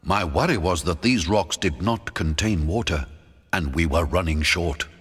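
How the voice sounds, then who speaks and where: A man narrating a scripted first-person adventure audiobook in a dramatic, measured storytelling manner. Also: deep voice, slightly rough. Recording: long hall-like reverb.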